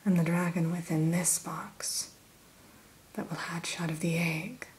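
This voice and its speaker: A woman whispering softly as a dragon hatchery keeper in an ASMR roleplay, gentle and a little formal, close to the mic. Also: on the low side, fairly even intonation, a little hiss or hum, rough recording. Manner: soft whisper throughout; gentle, unhurried, mildly formal.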